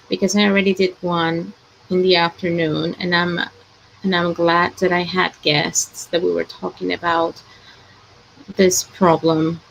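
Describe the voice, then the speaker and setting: A woman hosts a casual livestream with a low, gravelly voice. She sounds worried and hesitant, sighing between thoughts. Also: fairly bright tone, slight background noise, poor audio. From Ecuador. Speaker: host of a live chat.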